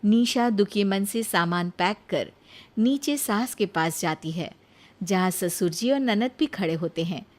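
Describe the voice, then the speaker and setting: Slow-paced woman storyteller. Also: mid-range voice, expressive. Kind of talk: scripted story narration.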